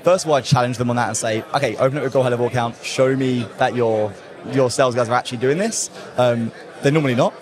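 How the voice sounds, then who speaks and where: A young English man talking casually and excitedly as a guest in an interview at a trade event, often laughing. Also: medium pitch, fast. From England. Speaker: guest, call center company owner. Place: busy conference floor. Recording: noisy crowded event hall. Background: crowd chatter.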